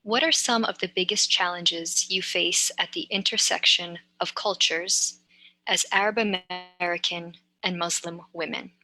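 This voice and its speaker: Woman moderator from New York on a video call, bright crisp tone, easygoing pace with light "um"s. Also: on the low side, unhurried, low-quality recording. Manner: relaxed, friendly, light fillers. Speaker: adult education instructor and moderator. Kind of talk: casual moderated discussion.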